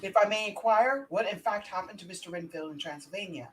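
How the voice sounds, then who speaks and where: A woman's voice: mid-range voice, quick pace, recorded from a distance, a little hiss or hum, lightly acted, low-quality recording. A woman voicing an earnest, polite young male clerk, reading a script in a formal, deferential manner. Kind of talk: amateur script read-through.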